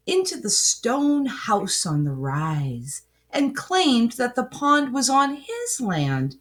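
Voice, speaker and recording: Slow, very expressive woman storyteller. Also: mid-range voice. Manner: expressive, deliberate phrasing. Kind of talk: children's picture book read-aloud.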